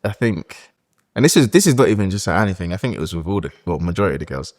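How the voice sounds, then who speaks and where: A man's voice: low-pitched, expressive, racing, darkish tone. A young man, a guest talking candidly and hesitantly in a casual podcast chat, often restarting his sentences.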